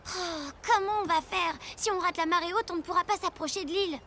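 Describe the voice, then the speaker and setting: An actor playing a spirited, fearless young pirate girl captain in a cartoon, exclamatory and commanding, with loud music behind. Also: very high-pitched, brisk, heavy background noise. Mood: worried. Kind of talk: French animated adventure cartoon voice acting.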